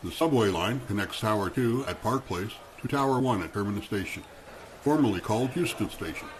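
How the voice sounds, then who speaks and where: Casting: male narrator for radio drama, lowish voice, scripted scene-setting delivery over faint noise.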